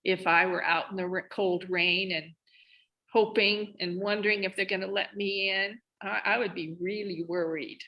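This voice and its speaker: A woman co-presenting a children's read-aloud, thinking aloud and asking expressive questions. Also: medium pitch, normal speed, highs cut off. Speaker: reading teacher, co-presenter. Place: online video session.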